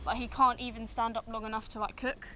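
A young woman talking softly about her family in a documentary interview, with frequent fillers. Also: on the high side, little pitch movement, quick pace, a little hiss or hum.

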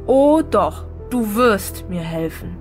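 A woman's voice: mid-range voice, lively intonation, slow, over music. A woman playing a determined young girl in an audio drama, insistent and challenging. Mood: demanding. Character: determined young girl searching for her sister.

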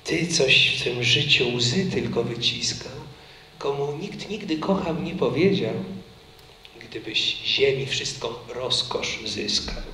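A man in his thirties reciting a poem on a concert stage in a slow, emphatic, theatrical way. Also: mid-range voice, expressive, vocal fry, pushed and bright, slight background noise. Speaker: singer and reciter. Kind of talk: dramatic poetry recitation. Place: concert stage in a culture centre hall. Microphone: vintage stand microphone. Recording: roomy hall echo.

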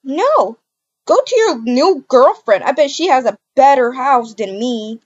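A woman voices a high, wounded young mother in a homemade game roleplay. She is indignant and emphatic, repeating her words at her cheating partner. The sound is dull and lo-fi. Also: expressive, measured pace. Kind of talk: amateur video game roleplay acting.

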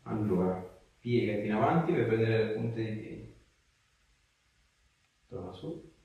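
Man, 30s, chiropractor; deepish voice, brief informal instructions, very reverberant treatment room. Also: lively intonation, measured pace, a little mellow. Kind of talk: giving instructions and casual explanations to a patient. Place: small indoor treatment room. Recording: very echoey small room.

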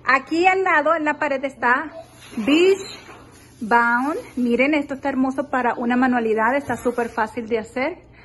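A woman's voice: sits high, normal speed, fairly bright tone, slight background noise. Chatty woman giving a phone-filmed house tour. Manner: chatty, enthusiastic, addresses viewers as girls. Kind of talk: casual house-tour vlog. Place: indoors in a furnished beach rental house. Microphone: phone held while walking.

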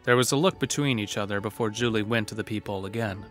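A man narrating a dark horror audio drama as its storyteller. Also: fairly low pitch, quick pace, soft background music, close to an everyday voice. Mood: grave storytelling.

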